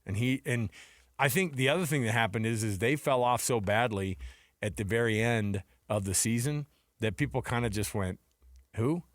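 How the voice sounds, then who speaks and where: A man's voice: mid-range voice, moderate pace, no echo, clean studio sound. An American man co-hosting sports talk radio, chatting casually and bluntly in slang, often tossing out questions. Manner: conversational, opinionated, slangy, throws out questions.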